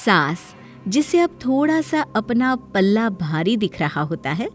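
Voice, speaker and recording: A woman's voice: medium pitch, expressive, slow. Story narrator, female, scripted delivery, music bed underneath. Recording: music behind the voice.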